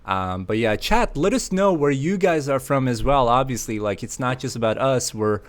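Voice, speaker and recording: A man from Sweden hosts a casual livestream and talks straight to his viewers. He is playful and chatty and breaks into laughter often. His voice sits at a middling pitch for a man. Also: expressive, normal speed. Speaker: livestream host.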